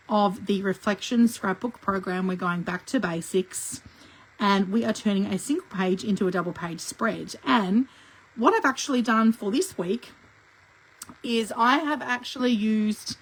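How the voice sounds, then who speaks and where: A middle-aged woman with a croaky voice from a lingering cough, teaching a live scrapbooking tutorial in a chatty way and clearing her throat often. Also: mid-range voice, low-quality recording. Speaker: scrapbooking instructor.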